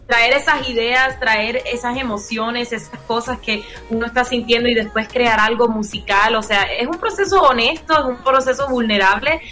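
Bright-voiced young Puerto Rican woman. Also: a little high, normal speed, hardly any pauses, faint music behind. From Puerto Rico. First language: English. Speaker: singer-songwriter guest. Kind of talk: casual radio interview, personal storytelling.

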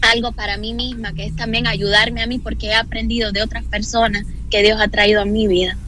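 A woman from Cuba speaks as a guest on a radio show, earnest and devotional as she talks about her calling. Her voice is bright and fairly high. She joins over a remote call connection. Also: little pitch movement, a little fast.

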